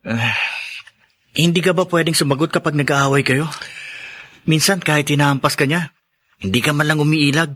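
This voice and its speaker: A man's voice: fairly high pitch, normal speed, pauses often, fairly bright tone. A man playing an earnest, troubled schoolboy in a radio drama, polite and plaintive. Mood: concerned. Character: earnest schoolboy son, troubled by quarrelling parents.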